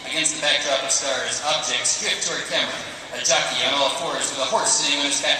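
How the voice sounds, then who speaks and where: A man's voice: medium pitch, fairly even intonation, fast talker. A man reading stage directions as scripted narration at a table read. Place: large convention hall, audience present. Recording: distant, echoey hall with loud noise.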